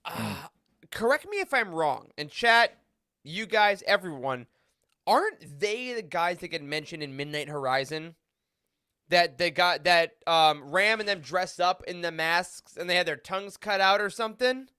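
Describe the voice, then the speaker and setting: A man chatting casually as a podcast panelist, animated and slangy in a fan roundtable discussion. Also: sits high, measured pace, clear, resonant tone, no echo, studio quality.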